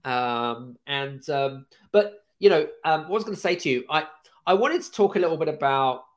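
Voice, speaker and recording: An energetic male podcast host with a croaky voice, speaking a little higher than most men. He rambles cheerfully, full of fillers and false starts. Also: normal speed. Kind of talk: casual chat.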